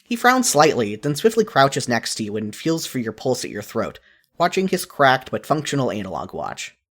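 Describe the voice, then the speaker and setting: A narrator reading a second-person story aloud in an expressive style, as in a fan fiction audiobook. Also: mid-range voice, moderate pace.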